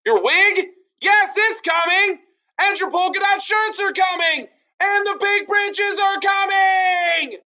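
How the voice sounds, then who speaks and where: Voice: very high voice, slow, sharp tone, shouting, pitched above the performer's own voice, exaggerated voice. A bellowing half-deaf colonial boy. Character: loud-shouting colonial boy bell ringer.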